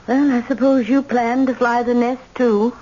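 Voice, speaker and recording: A woman's voice: on the high side, fairly even intonation, normal speed, mellow, lightly acted. A woman playing an indomitable, bitter old grandmother, imperious and emphatic, in old-time radio drama style. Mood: pleading, brooding. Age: older. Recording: dull, noisy old radio recording.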